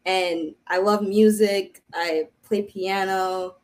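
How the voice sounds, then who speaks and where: A woman's voice: medium pitch, fairly even intonation, slow pace, slight background noise. A young woman from Haiti, a native Creole speaker, chatting casually as a podcast guest in a rambling way, full of restarts and "like". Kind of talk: casual conversation.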